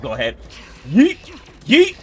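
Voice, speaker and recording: Man, game streamer, slightly high voice, very animated, slangy and profane, casual reactions, faint game music. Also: slow pace. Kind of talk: casual gameplay commentary.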